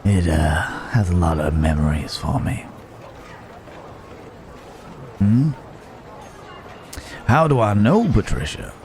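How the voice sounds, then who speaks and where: Man acting a deep, slow-drawling cowboy drifter. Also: lively intonation, darkish tone, slight background noise. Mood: relaxed, reminiscing. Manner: unhurried, pausing drawl, teasing and reassuring. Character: rugged, protective cowboy drifter with a rough reputation. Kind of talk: intimate ASMR roleplay, Wild West character acting.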